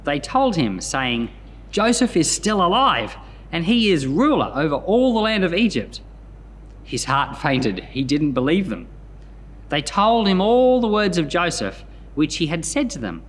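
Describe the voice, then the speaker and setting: Expressive man with lively, swooping pitch. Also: unhurried. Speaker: Bible podcast host and pastor. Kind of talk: Bible reading followed by casual commentary.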